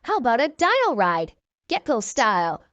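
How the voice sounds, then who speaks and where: An eager, excitable young boy hero from a storybook, acted by a woman reader. The high voice bounces up and down with exclamations, close and dry. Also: normal speed, no echo, dull audio.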